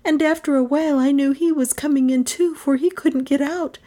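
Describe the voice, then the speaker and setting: Give me a woman putting on a trembling frightened girl with a high, fragile voice in an audiobook. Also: steady tone, a little fast, mellow, lightly acted. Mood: shaken. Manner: tremulous, breathy. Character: frightened young woman recovering from a faint.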